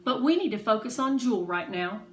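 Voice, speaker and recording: A woman's voice: a little high, expressive, a little fast, close to an everyday voice. Lady reading as sensible kid who loves rules, storybook, earnest, faint noise and echo. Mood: reassuring. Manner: earnest, matter-of-fact. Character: Karina, sensible, rule-loving young girl planner.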